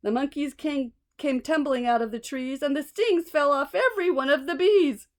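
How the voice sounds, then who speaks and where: A woman's voice: high voice, moderate pace. A woman reading a children's storybook aloud expressively, with small asides to listeners. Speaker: storybook reader.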